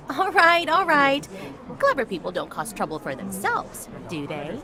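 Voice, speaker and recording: A woman's voice: a little high, wildly swooping pitch, unhurried. An actress playing a playful, shrewd young woman merchant, teasing and coaxing, in a video game. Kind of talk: dramatic video game dialogue. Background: music.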